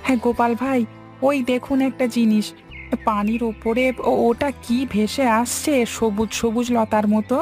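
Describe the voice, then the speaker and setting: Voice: medium pitch, fairly even intonation, moderate pace, a little mellow, soft background music, close to an everyday voice. A performer voicing a helpful, eager male village farmhand sidekick in a cartoon. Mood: amazed. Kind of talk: cartoon dialogue.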